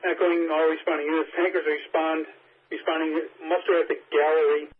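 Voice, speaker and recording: A male fire alarm dispatcher speaks briskly over a thin, phone-like radio link with clear noise on it. His pitch sits a little high, and his phrases are short, procedural read-backs to units. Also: level tone. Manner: terse, procedural. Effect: two-way radio. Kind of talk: radio dispatch, repeating back transmissions.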